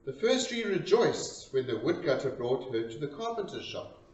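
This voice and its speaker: A man reciting a storytelling poem in a dramatic, expressive and deliberate way, pausing between short phrases. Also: on the high side. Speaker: reader of a poem. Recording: roomy, distant, dull lo-fi sound.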